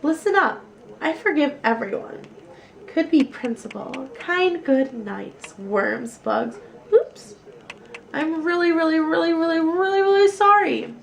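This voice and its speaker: A woman voicing an earnest, excitable little schoolgirl from a storybook, speaking emphatically and dramatically. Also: very high voice, a little slow, vocal fry, pitched above the performer's own voice, full character voice. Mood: pleading.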